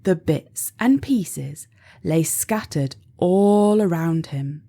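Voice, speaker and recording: A woman storyteller reading a children's picture book aloud in an expressive, deliberate way for young listeners. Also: on the low side. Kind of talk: scripted children's audiobook narration.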